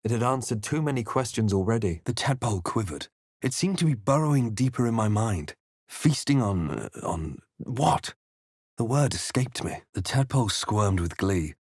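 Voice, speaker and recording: A man playing a grave, wary fantasy adventurer, delivering scripted game lines in a measured, dramatic way. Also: fairly low pitch, expressive, darkish tone, no room sound, clean studio sound. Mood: grave, haunted.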